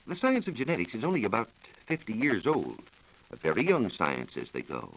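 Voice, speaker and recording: An American man giving a scripted science talk on 1940s radio, explaining in a measured, formal manner. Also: lowish voice, wildly swooping pitch, poor audio. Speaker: science reporter explaining research. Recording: old 1940s radio broadcast recording.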